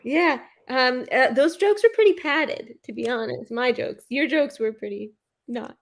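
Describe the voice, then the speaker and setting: A woman's voice: high-pitched, measured pace. A woman competing in a pun contest, bantering playfully with hesitant fillers and giggles over a video call. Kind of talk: quick-fire pun banter.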